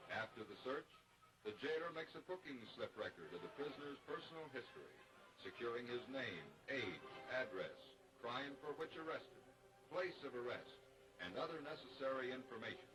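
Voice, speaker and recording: Male narrator, American accent, mid-pitched. 1940s training film delivery: formal, measured, instructive. Dated, distant film sound. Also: lively intonation.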